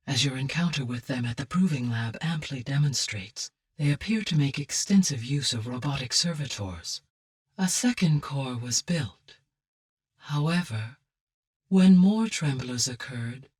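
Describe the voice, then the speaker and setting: Casting: a calm female video game AI, low and breathy-husky, measured, exact and formal, heard slightly off-mic. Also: far from the mic, exaggerated voice. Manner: measured, formal, precise diction. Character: calm, analytical female artificial intelligence. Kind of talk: scripted video game dialogue.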